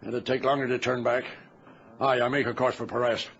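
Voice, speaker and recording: An actor playing a steady, authoritative nineteenth-century sea captain in an old radio drama. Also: male voice, medium pitch, a little fast, natural voice. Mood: grave. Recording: muffled, noisy vintage radio recording.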